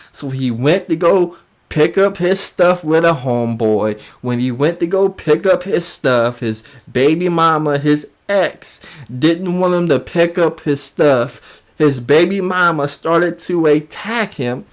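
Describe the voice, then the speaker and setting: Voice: mid-range voice, animated, takes it slowly. An online commentator delivering a mocking call-out, emphatic and incredulous, often repeating phrases for effect. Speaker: YouTube commentator.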